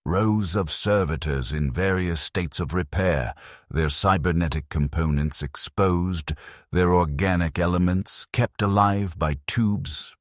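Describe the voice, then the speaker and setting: A man with an extremely low, husky voice narrates dark science fiction in the first person. His delivery is measured and grim, yet the pitch moves in a lively way. The sound is dry and close. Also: no echo.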